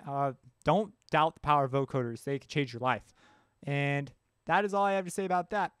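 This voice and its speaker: A man presenting a software tutorial in an informal, offhand way, thinking aloud as he works. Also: fairly high pitch, fairly quick, smooth, dry recording, studio quality. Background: synth music and instrument sounds.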